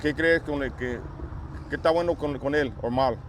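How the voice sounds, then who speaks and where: A man conducting an informal outdoor interview, asking halting questions in slangy speech with English slipping in. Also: a little high. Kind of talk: casual street interview. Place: outdoors at a store parking lot. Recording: outdoor street noise, traffic.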